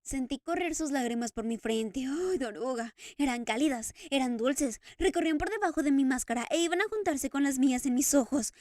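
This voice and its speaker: Storybook figure: tearful masked man, fervent and stammering, pitched high and dry, put on by a woman reader. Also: expressive, moderate pace, darkish tone, no echo. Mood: weeping joy. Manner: impassioned, stammering, sobbing outbursts. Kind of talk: audiobook character reading.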